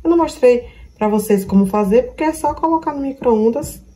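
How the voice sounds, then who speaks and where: A woman's voice: a little high, lively intonation, a little fast, warm tone, husky. A woman from Brazil, chatting casually through a cooking vlog in her home kitchen, fond of diminutives. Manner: chatty, affectionate, lots of diminutives. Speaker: vlogger.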